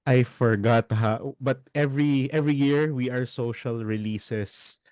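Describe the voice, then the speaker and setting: A man speaks slowly and deliberately on a video call, giving careful practical advice. His middle-pitched voice comes across dry and close, with no room sound around it. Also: fairly even intonation, a little mellow, dry recording. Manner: measured, advisory. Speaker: webinar panelist.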